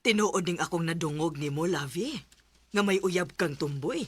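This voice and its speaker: A woman's voice: on the low side, expressive, moderate pace, a bit bright. An actress playing an eager, affectionate young woman in a radio drama, with faint noise on the recording.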